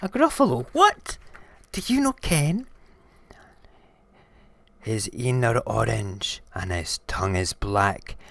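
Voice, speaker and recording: A man voicing a small, bold, boastful mouse in a storybook, with a Scottish accent and a cheeky, animated manner. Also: lowish voice, takes it slowly, creaky, hardly any pauses, no echo, full character voice. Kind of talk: storybook character reading.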